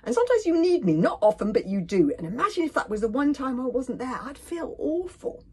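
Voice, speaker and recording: Woman as a high-pitched, panicky boy sidekick. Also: sing-song, brisk, dark tone, slightly rough, exaggerated voice. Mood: indignant, wounded. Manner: fretful, wailing, exclamatory.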